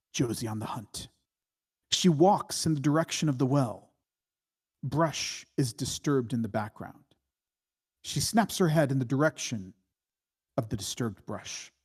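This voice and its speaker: A man reading screenplay stage directions as scripted narration in a measured, matter-of-fact way. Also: mid-range voice, darkish tone, slightly rough.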